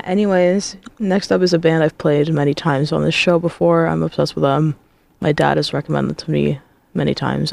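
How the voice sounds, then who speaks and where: Male radio host, hoarse and gravelly, casual halting DJ patter. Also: on the high side, no echo. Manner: loose, halting, with filler words. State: hoarse from illness.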